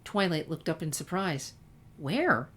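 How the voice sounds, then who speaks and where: A woman narrates a story with expression. Her voice sits low for a woman, and she reads from a script as a storyteller would. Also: moderate pace, a little hiss or hum. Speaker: fan fiction reader.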